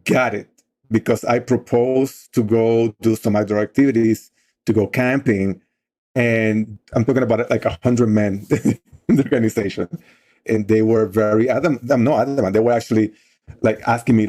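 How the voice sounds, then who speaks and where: A man in his forties from the Dominican Republic with a Dominican Spanish accent, telling his story as an interview guest in an animated way. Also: medium pitch. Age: 40s. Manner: animated, frequent restarts and laughs. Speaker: guest, mentorship program co-founder.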